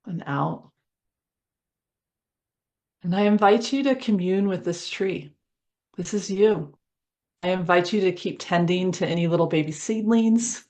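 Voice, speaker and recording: Female meditation guide: gravelly fry, very slow delivery, gentle and conversational, improvising a visualization in short phrases. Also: on the low side. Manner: soothing, conversational, pausing between short phrases.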